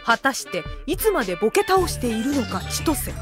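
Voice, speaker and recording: A woman's voice: fairly high pitch, sing-song, fairly quick, over loud music. A woman narrating a comic anime audio drama as a wry, scripted storyteller.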